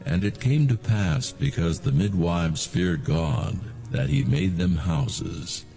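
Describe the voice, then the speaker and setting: Male scripture narrator, heavy vocal fry, slow and solemn, with expressive rises and falls. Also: low voice, soft background music. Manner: measured, solemn storytelling.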